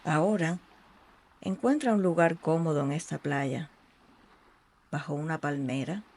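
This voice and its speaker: A meditation guide speaking in a soothing, unhurried way with long pauses between short phrases. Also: medium pitch, a little mellow. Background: ambient sea sounds.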